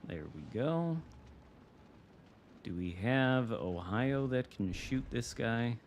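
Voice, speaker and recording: A man's voice: medium pitch, animated, slow pace, slight background noise. A man with a Michigan American accent giving casual, dry, sometimes profane live commentary as he streams a video game. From United States. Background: video game artillery and gunfire.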